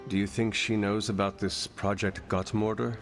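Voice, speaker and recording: An actor playing an earnest hero in a video game cutscene, speaking formally and stiffly without contractions. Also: male voice, fairly low pitch, flat delivery, normal speed, faint music behind, close to an everyday voice. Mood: curious.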